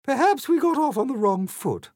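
A man's voice: extremely high pitch, very animated, moderate pace, a little mellow, dry recording, studio quality, pitched above the performer's own voice. A man voicing an imperious, cruel queen of a card kingdom who speaks grandly and screams in bursts. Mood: falsely gracious.

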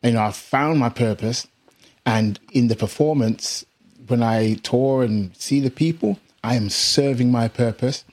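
A middle-aged English musician with a British accent touched by Jamaican Caribbean tones, telling stories casually in an interview and laughing often. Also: male voice, mid-range voice, unhurried. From England. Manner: laughs often, animated anecdotes. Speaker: musician guest.

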